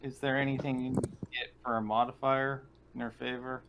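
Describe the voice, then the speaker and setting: A man's voice: medium pitch, flat delivery, brisk. A man chatting casually as one of the players in a tabletop roleplaying game. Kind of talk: casual chat. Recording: noisy audio, clear background noise.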